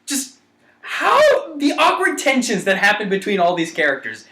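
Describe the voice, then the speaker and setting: A man's voice: high-pitched, huge pitch swings, normal speed, sharp tone. A young man co-hosting a podcast, chatting casually in a wry, playful way. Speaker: podcast co-host. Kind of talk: casual fan chat.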